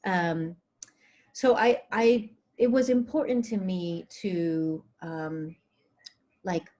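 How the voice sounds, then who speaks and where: Woman author on a video call, low-ish voice, lots of ums and restarts, greek was her first language. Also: slow pace, mellow. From Greece. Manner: hesitant, many fillers and restarts. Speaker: author being interviewed. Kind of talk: conversational interview.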